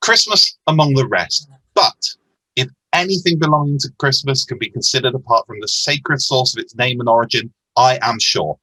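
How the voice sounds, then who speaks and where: A man playing a good-hearted, forgiving nephew in an amateur radio play, earnest and pleading, with a husky voice. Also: medium pitch, normal speed, sharp tone, no echo, poor audio. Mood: earnest, heartfelt. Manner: earnest, pleading, hesitant starts.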